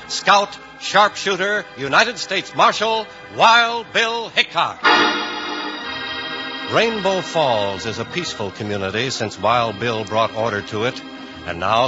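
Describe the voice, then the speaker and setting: A male announcer narrates dramatically in bold old-time radio style. His voice sits at a middle pitch. Organ music plays clearly behind him, and the old recording is dull. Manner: bold, declamatory.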